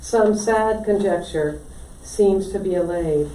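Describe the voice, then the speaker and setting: A woman's voice: lowish voice, takes it slowly, dark tone. A woman reading a poem aloud at a live poetry reading. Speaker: poetry reader. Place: indoor library poetry reading. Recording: echoey room, distant mic, faint noise.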